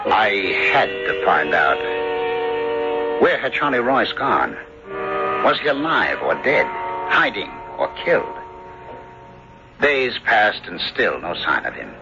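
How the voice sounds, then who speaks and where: An actor playing an earnest, inquisitive country doctor who also narrates his story in a measured, theatrical radio drama style. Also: on the low side, wildly swooping pitch, over loud music, exaggerated voice. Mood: grave, troubled. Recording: dull lo-fi old radio broadcast.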